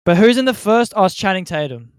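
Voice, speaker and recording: A man's voice: sits high, sing-song, quick pace, smooth, dry recording, clean studio sound. A man co-hosting a podcast, chatting casually with friends. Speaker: podcast co-host. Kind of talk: casual banter among friends.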